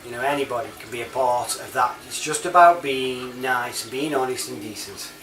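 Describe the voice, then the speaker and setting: A man's voice: mid-range voice, normal speed, fairly bright tone. A British man giving a casual, rambling monologue in a chatty, matey manner full of informal slang. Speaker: online reviewer and CB chat app user. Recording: roomy, distant mic, clear background noise.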